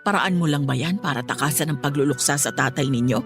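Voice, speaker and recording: An actress playing an older grieving mother with a Filipino accent, gentle but firm as she keeps the peace in a Tagalog radio drama. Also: sits low, fast. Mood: pleading. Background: soft music underneath.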